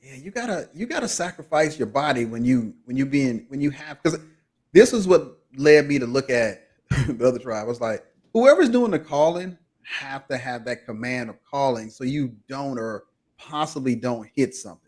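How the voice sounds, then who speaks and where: Animated man hosting a podcast recap. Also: medium pitch, normal speed. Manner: animated, laughs easily, leads the conversation. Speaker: podcast host. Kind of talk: casual recap chat.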